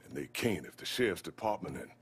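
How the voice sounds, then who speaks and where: An actor playing a measured, middle-aged male community organizer in a video game cutscene. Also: big pitch swings, full character voice. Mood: grave, measured. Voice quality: husky, low.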